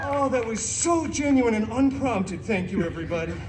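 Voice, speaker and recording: Campy wisecracking antihero storyteller, high-pitched, swooping delivery, sarcastic asides, live stage comedy show. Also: male voice, lively intonation, normal speed, crowd noise, noisy background. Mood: showy, sarcastic. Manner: campy, sarcastic, exaggerated emphasis. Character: flamboyant wisecracking masked antihero hosting a holiday storytime. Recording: live show PA, distant, music behind.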